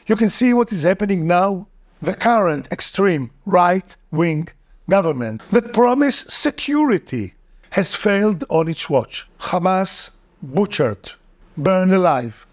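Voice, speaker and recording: A man speaks slowly and earnestly in English. His voice sits slightly high, and it rises and falls a lot as he asks rhetorical questions and stresses his points. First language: Hebrew. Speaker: political commentator. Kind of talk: scripted video essay.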